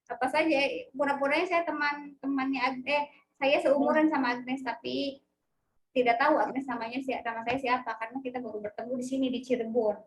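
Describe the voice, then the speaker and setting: A woman's voice: sits high, steady tone, fairly quick, poor audio. A woman lecturer teaching an online class over a video call, asking students lots of questions and checking in with tag questions.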